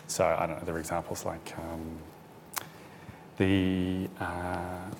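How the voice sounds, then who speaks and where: A man's voice: low-pitched, level tone, slow pace, slight background noise. A man with a New Zealand accent answering on a panel, hesitant with many fillers and restarts.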